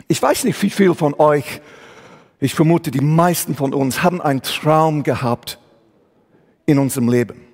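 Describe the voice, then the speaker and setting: A man's voice: fairly high pitch, a little mellow. A man from England with an English accent preaching a sermon in German, searching for words and mixing in English, in a church hall. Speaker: guest preacher.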